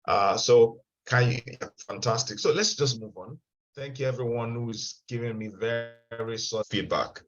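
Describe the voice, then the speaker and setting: A man's voice: mid-range voice, normal speed. A man presenting an online investment seminar, often stumbling and repeating words and checking whether listeners can hear him. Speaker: webinar presenter. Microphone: video call. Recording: dull lo-fi video call audio.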